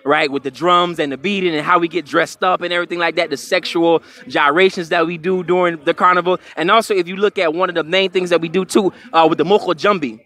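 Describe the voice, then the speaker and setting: A man with a high, bright voice fires off questions at a fast clip. He talks in a casual, slangy way as a street interviewer at an outdoor carnival. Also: fairly even intonation.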